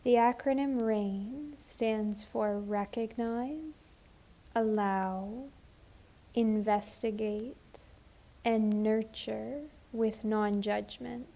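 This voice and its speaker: A woman leading a guided meditation as a teacher, speaking gently and soothingly, with unhurried phrases and long pauses. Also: a little high, fairly even intonation, gravelly, rough recording.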